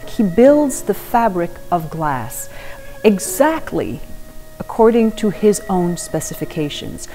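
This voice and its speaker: A middle-aged woman, a partner at an auction house, presenting artworks to camera in a measured, gentle, descriptive way. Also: lowish voice.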